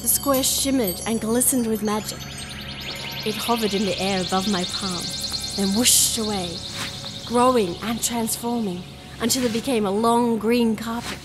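Higher-voiced spirited young princess played by an actress. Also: female voice, close to an everyday voice. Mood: wondrous. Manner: expressive, storybook narration. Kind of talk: dramatised storytelling audio drama.

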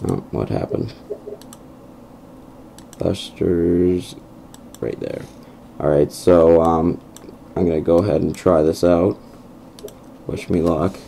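A young man with a croaky voice narrating a casual game walkthrough over his screen recording, hesitant and full of ums. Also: low-pitched, mellow, slight background noise. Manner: hesitant, many ums and restarts. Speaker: gameplay video host. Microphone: computer microphone over screen recording.

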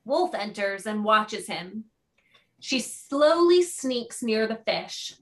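A woman's voice: fairly high pitch, very animated, slow. A woman giving scripted narration, reading out the stage directions of a play.

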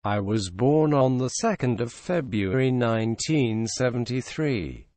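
A man reading short English phrases for learners, slow and clearly spaced. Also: mid-range voice, animated, a little mellow, no room sound.

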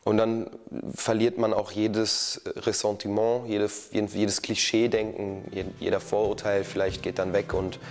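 A man's voice: lowish voice, little pitch movement, a little slow, hardly any pauses. A man in his thirties giving a thoughtful interview answer in a studio, searching for words now and then.

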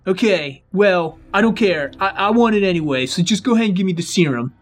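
Man playing a courteous, apologetic evil-twin robber, high-voiced. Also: expressive, fairly quick. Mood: eager. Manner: over-polite, apologetic. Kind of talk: Roblox roleplay acting.

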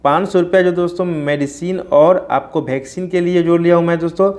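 A man in his thirties with a slightly high voice gives an informal how-to explanation. He talks straight to his viewers, often addressing them as friends, like a host of a small farming channel. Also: fairly even intonation, a little fast, darkish tone. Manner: repeatedly addresses listeners as friends. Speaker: poultry farming video host. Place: small plain indoor room.

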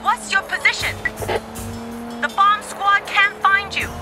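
A woman's voice: very high-pitched, flat delivery, slow pace, over loud music. An actress playing a stern female police radio dispatcher who speaks firmly and gives orders. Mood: commanding. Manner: firm, commanding.

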